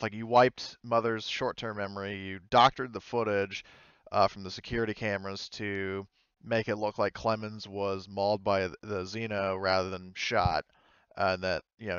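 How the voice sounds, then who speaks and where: Male game master, low smooth voice, halting conversational narration, dry slightly muffled audio. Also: little pitch movement, a bit bright, no room sound. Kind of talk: tabletop roleplaying game narration and chat.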